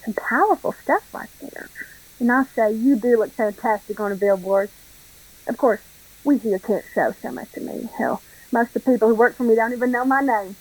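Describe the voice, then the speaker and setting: Woman acting Southern crime boss, high voice, falsely courteous, theatrical drawl, scheming, radio drama. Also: moderate pace, a little mellow, natural voice, low-quality recording. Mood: smug courtesy.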